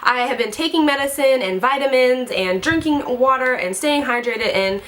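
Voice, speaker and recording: A woman's voice: high voice, animated, moderate pace, fairly bright tone, a little hiss or hum. A young woman in her twenties chatting casually as a beauty vlogger, gushing and bubbly, a little congested from a head cold. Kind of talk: casual chat to camera.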